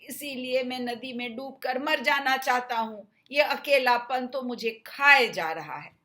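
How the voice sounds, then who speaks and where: A woman's voice: sits high, a little slow, sharp tone. A woman voicing a sly male jackal in a children's story, pleading and argumentative with a show of lonely sorrow. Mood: fake sorrowful.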